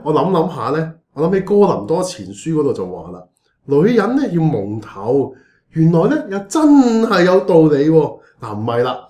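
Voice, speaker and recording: A man's voice: sits high, darkish tone, echoey room. A man playing a preachy, scheming church pastor in a comedic radio drama, theatrical and exclaiming, with a Hong Kong Cantonese accent. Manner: theatrical, exclaiming, mock-righteous.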